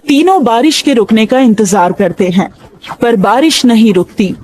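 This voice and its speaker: A woman's voice: a little high, expressive, normal speed, darkish tone. A woman narrator reading a scripted Hindi moral story. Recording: music behind the voice.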